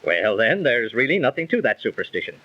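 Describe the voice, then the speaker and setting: An actor playing a confident, needling skeptic in a 1930s radio drama, insistent and persuasive. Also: male voice, mid-range voice, very animated, brisk. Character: confident, needling skeptic chatting with his pal. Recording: muffled old 1930s radio recording.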